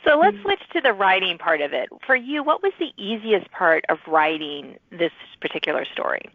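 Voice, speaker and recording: A woman's voice: mid-range voice, big pitch swings, moderate pace, no room sound. A woman hosting a podcast, interviewing a guest in a conversational style, with narrow phone-like audio. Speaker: podcast host.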